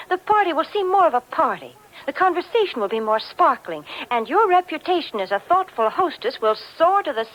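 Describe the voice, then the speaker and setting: A female announcer from 1940s radio reads a scripted commercial. Her high voice is animated and polished. She sells with cheery, flowing delivery. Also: low-quality recording.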